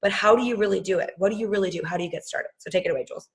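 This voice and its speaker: A woman's voice: medium pitch, very fast. A woman hosting a business call, giving an emphatic, persuasive motivational talk and introducing her guest. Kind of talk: motivational pitch.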